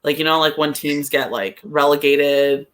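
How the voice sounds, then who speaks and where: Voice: mid-range voice, flat delivery, moderate pace, a bit bright. A podcast guest giving casual, tentative opinions in a football discussion.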